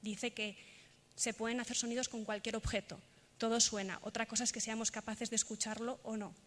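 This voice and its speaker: Spanish woman from spain doing a conference talk, pretty even tone, big echoey hall. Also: fairly high pitch, brisk. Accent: Castilian Spanish. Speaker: conference speaker. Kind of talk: prepared talk with recited verse and examples. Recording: echoey hall with long reverb.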